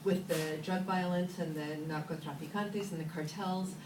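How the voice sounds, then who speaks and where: A woman's voice: lowish voice, normal speed. Woman asking a question in an echoey hall. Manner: lively, loud and searching. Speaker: audience member asking a question. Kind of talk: public Q&A question. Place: university auditorium. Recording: echoey hall, far handheld camera, noisy.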